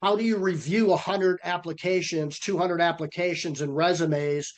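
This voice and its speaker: A middle-aged man presenting a webinar on a video call, a bit hoarse from a cold, with a folksy, energetic sales-coach delivery. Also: high-pitched, moderate pace. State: losing his voice from a cold.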